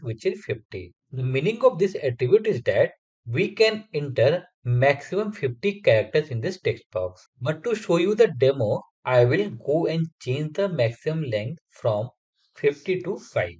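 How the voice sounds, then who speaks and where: Man, Hindi speaker, methodical tutorial voice-over, husky, slightly muffled audio. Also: medium pitch, darkish tone. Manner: explains step by step, methodical. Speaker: software testing instructor. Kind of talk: screen-recorded coding tutorial.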